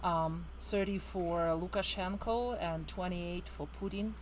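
Low-voiced woman from central Ukraine. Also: a little slow, slight background noise, poor audio. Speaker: guest. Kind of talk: casual interview conversation.

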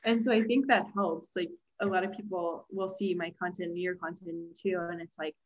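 A young woman guest on a podcast, speaking in a flat, thoughtful, casual way with lots of "like". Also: on the low side, moderate pace, muffled recording. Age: young adult. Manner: monotone, thoughtful, says "like" often. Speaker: guest, economics content creator. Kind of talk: casual interview chat.

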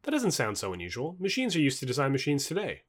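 A man's voice: medium pitch, huge pitch swings, quick pace. A man voicing a skeptical, sarcastic present-day woman in an audio book dialogue. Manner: wry, sarcastic, questioning.

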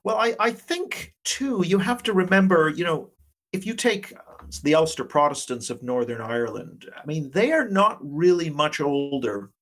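A man's voice: on the high side, big pitch swings, normal speed, a bit bright. A man speaking as an academic interview guest, explaining his points with many qualifiers. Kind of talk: interview discussion.

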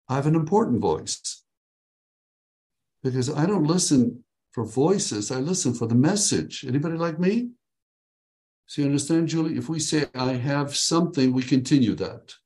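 A man's voice: mid-range voice, darkish tone. Upbeat joking man coaching a video call. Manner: upbeat, joking, slows down to dictate phrases. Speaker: workshop leader. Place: his home.

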